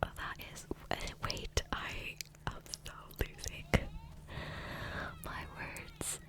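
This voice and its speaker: A woman whispering softly and breathily into a close microphone in a rambling, hesitant ASMR gameplay commentary, often trailing off. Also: slow pace. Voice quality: soft, breathy unvoiced whisper. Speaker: ASMR gaming creator. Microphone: close USB condenser microphone.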